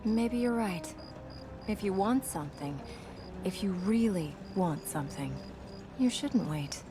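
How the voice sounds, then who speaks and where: Game princess, young woman actress, practical and patient, measured instructive delivery, music underneath. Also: medium pitch, expressive, lightly acted. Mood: earnest. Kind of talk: video game character dialogue. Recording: music behind the voice.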